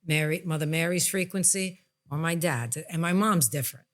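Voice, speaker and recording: A woman's voice: low-pitched, moderate pace. A woman hosting a podcast as a psychic medium, talking candidly and emphatically in plain, casual words with some swearing. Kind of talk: candid conversational podcast talk.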